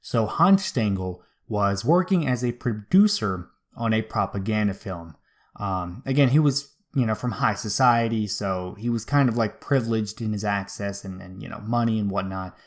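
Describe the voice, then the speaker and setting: A man's voice: fairly low pitch, moderate pace. A man hosting a history show, retelling events in an informal, slangy, conversational way. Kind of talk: casual conversational history storytelling.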